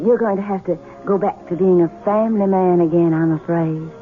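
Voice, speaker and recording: A woman's voice: lowish voice, a little fast, close to an everyday voice. Through a dull, lo-fi radio sound with music behind comes a coy Southern belle, played by a woman. She coaxes and flirts in a drawl, sweetly possessive. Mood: coy, coaxing. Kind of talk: radio drama acting.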